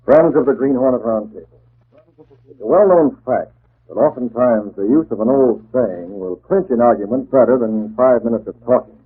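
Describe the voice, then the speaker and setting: Stern, husky masked vigilante played by an actor, muffled old radio. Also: male voice, mid-range voice, normal speed, lightly acted. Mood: earnest, preaching. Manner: clipped, commanding questioning. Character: stern masked vigilante posing as a crime boss. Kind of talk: 1940s radio acting. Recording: muffled, scratchy 1940s radio broadcast.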